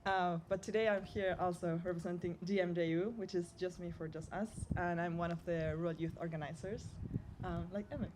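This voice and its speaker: A woman from Spain with a somewhat low voice speaks on a youth panel. She talks in a measured way and pauses with hesitant ums. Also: steady tone, background noise. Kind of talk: panel introduction.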